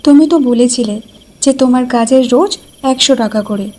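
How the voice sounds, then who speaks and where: A woman's voice: sits high, fairly quick, warm tone. A woman voicing a concerned, chiding village wife in a cartoon, questioning and fretful. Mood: questioning, worried.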